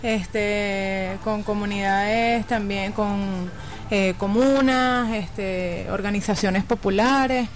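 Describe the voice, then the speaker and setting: A woman's voice: mid-range voice, steady tone, very slow. A woman, a guest on a radio interview, speaking hesitantly with many fillers. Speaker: guest from a TV producer.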